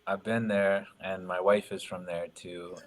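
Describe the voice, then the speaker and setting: A man's voice: deep voice, flat delivery, unhurried. A young man from Ottawa, a drummer guest, talking in a thoughtful, rambling way. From Ottawa, Canada. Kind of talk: casual interview chat.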